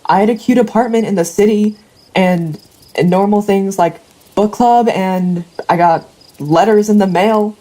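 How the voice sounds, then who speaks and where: A woman's voice: mid-range voice, unhurried, mellow, lightly acted, rough recording. A woman in an audio drama playing a chatty, nostalgic former city dweller on a ranch, with a conversational, wistful delivery. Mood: wistful, pleading.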